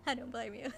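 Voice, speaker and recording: Slow, giggly female game streamer. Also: sits high, creaky, fairly bright tone. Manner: giggly, halting, restarts thoughts. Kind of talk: casual chat while playing a video game. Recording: faint background noise.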